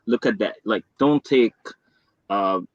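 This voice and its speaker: Blunt man from Egypt, low voice. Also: takes it slowly, dry recording. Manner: blunt, matter-of-fact. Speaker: guest reseller. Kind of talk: casual interview chat. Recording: dull dry lo-fi sound.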